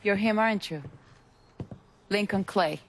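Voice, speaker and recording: A woman's voice: medium pitch, a little slow, natural voice. A woman playing a tough, businesslike Cuban smuggler in a cinematic game, blunt and commanding, swearing in Spanish at times. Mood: wary, businesslike. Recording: faint ambient noise.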